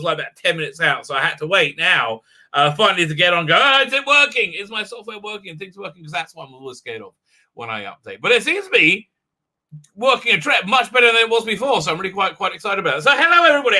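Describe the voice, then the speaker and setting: Livestream host, man, chatty and rambling, very bright tone. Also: high-pitched. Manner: rambling, many fillers and restarts. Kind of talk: casual livestream chat.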